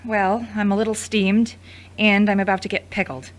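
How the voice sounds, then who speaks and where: A woman's voice: medium pitch, flat delivery, normal speed, close to an everyday voice. A woman playing an irritated, defensive, jealous woman in an audio drama, indignant and sarcastic. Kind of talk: scripted audio drama acting.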